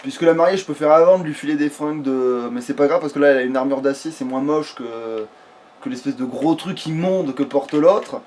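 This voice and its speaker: Higher-voiced man rambling through a game. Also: fairly quick, darkish tone, slight background noise. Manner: rambling, coarse swearing. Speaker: video game streamer. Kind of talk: casual let's play commentary.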